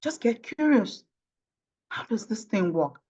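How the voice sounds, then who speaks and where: A woman's voice: fairly high pitch, big pitch swings, a little slow, a little mellow. A woman from Nigeria with a Nigerian accent and Yoruba as her native language, answering in an interview emphatically with rhetorical questions. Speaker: interview guest, tech professional.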